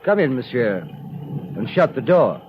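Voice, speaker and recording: A man acting a calm, kindly bishop in a 1930s radio drama, measured, courteous and unruffled. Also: mid-range voice, a little hiss or hum. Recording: muffled old radio broadcast.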